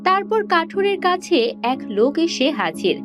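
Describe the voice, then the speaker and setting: A woman narrating a fairy-tale cartoon in plain, steady storytelling Bengali. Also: very high-pitched, moderate pace, music in the background.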